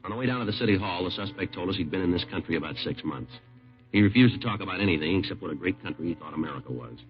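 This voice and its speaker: An actor playing a no-nonsense, deadpan police detective in a 1950s radio crime drama, speaking in clipped, matter-of-fact lines. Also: male voice, sits low, rapid-fire, faint music behind. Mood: dry, pressing. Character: no-nonsense male police detective, clipped, unemotional, dryly sardonic. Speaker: narrator and lead detective. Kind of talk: 1950s radio police drama acting, terse report-like narration. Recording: muffled old 1950s radio recording.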